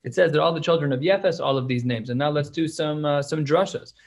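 A man's voice: fairly high pitch, brisk, gravelly. A man teaching a class on a religious text, reading passages aloud and explaining them in casual English. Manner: reads Hebrew and Aramaic, then explains it in plain English. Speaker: Talmud teacher.

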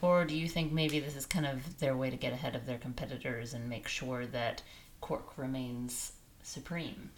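Very deep-voiced woman presenting wine news. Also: fairly even intonation, normal speed, slight background noise. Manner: newsy, inquisitive, lightly teasing. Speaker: wine show co-host.

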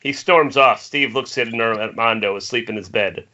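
A man's voice: medium pitch, moderate pace, sharp tone, lo-fi sound. A man narrating the stage directions of a screenplay at a table read over an internet call. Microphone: internet video call.

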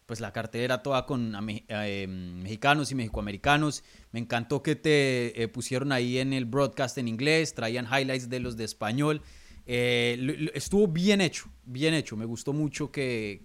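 Male interviewer, mid-pitched voice. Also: fairly bright tone. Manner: friendly, rambling questions with hesitant fillers. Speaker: interviewer, host. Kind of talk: casual interview questions.

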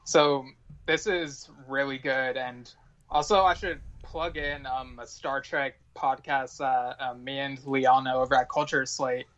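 A man's voice: medium pitch, fairly even intonation, a little slow, fairly bright tone. A man speaking as a podcast guest in casual conversation, on slightly dull, lo-fi audio.

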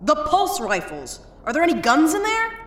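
A woman's voice: high voice, wildly swooping pitch, normal speed, echoey room. A woman playing a stern, exasperated spaceship captain with a blunt, sceptical manner in a sci-fi audio drama. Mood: sceptical, confused.

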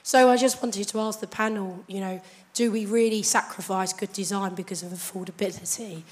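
A woman with a croaky, hoarse voice asks a conference panel a long question from the audience in a chatty, anecdotal way. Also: mid-range voice, fairly even intonation, fairly quick. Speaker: audience member asking the panel.

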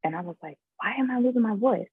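A young woman, a guest actress, chatting in an interview and telling her story in an animated way, often laughing and saying "like". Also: a little high, fast, breathy edge, no echo, narrow, tinny audio. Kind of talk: casual interview chat.

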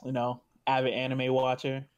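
A young man co-hosts a casual livestream chat. He is easygoing and laughs along with the others. His voice sits at an ordinary middle pitch. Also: monotone, moderate pace, clear, resonant tone, lo-fi sound. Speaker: co-host.

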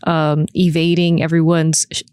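A woman's voice: low voice, completely flat delivery, moderate pace, a little mellow, smooth, dry recording, studio quality. A woman co-hosting a podcast in casual conversation. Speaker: podcast co-host. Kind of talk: casual chat.

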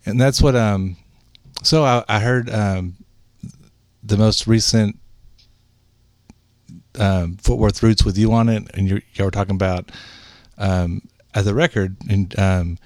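A man hosts a relaxed interview, talking haltingly and trailing off into fillers. His voice sits on the low side. The sound is dry and close. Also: no echo. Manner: halting, lots of fillers and restarts. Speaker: podcast host. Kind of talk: casual interview chat.

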